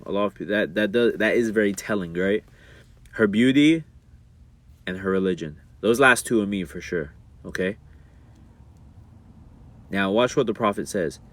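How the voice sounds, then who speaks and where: Young man, lowish voice, casual monologue, slangy asides, gets animated. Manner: animated, rises sharply when worked up; reads aloud and comments in slangy asides. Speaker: streamer talking about religion and relationships.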